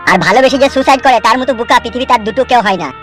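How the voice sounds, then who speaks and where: Someone voicing a very fast, scolding cartoon young man. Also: mid-range voice, over loud music, natural voice. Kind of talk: comedy cartoon dialogue.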